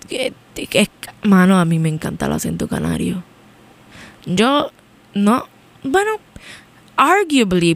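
A young woman with a Puerto Rican accent hosting a college radio show, chatting in a rambling way and switching between Spanish and English mid-sentence. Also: mid-range voice. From Puerto Rico. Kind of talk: casual on-air chat.

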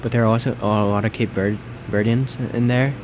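A man's voice: medium pitch, fairly even intonation, fairly quick. A young man in casual conversation, leading the chat and asking questions. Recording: noisy room with air-conditioning hum.

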